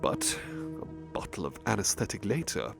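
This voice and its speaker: A man playing a refined, sadistic serial-killer nobleman in a horror audio drama, gloating theatrically and savouring his words with breathy drops. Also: on the low side, big pitch swings, moderate pace. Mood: smug, bored disdain. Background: music under the voice.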